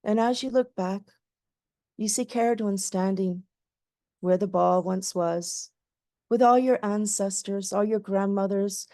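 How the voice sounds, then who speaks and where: A woman leading a guided meditation in a soothing, gentle voice with lulling phrases. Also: mid-range voice, unhurried, no echo, clean studio sound.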